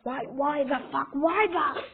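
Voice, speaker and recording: A woman's voice: sits high, expressive, quick pace, background noise, voice pushed up. A woman voicing a panicky, exasperated villain's henchman in a story, frantic and repetitive as he rants and pleads. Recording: tinny, phone-like narrow band.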